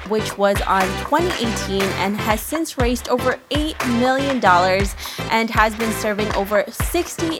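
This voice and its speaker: A woman hosting a podcast, moving between scripted introductions and upbeat interview chat. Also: mid-range voice. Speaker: podcast host.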